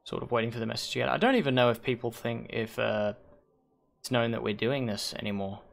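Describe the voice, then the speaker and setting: A hoarse man hosting a league stream on a headset mic, rambling and correcting himself as he thinks aloud. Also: medium pitch, brisk. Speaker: league host and shoutcaster. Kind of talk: casual livestream commentary.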